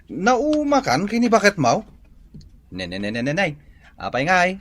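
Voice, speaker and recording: An actor with an Ilocano accent playing a nosy, teasing buddy in a comedy radio drama. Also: male voice, high voice, huge pitch swings, moderate pace, a bit bright, full character voice. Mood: amused, curious. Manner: teasing, inquisitive. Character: nosy, amused male buddy egging on his friend.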